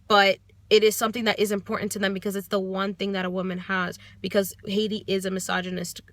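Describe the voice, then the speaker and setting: A woman's voice: mid-range voice, a bit bright. A young American woman giving a casual book review from her car, thoughtful and hesitant, with many ums and restarts. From United States. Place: parked car.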